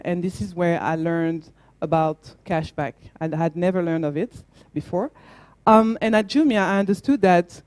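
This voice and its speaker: French woman, young founder, mellow tone, earnest talk with fillers, dry sound. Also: fairly low pitch, dry recording. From France. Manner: earnest, with frequent fillers and restarts. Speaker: startup founder. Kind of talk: conference talk.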